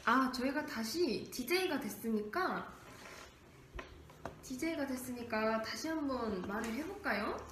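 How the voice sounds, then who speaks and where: A woman's voice: high voice, expressive, a little slow, a bit bright. A young woman co-hosting a casual radio-style show, playful and giggly, leading the segment and reading a listener's letter. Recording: echoey room with faint background noise.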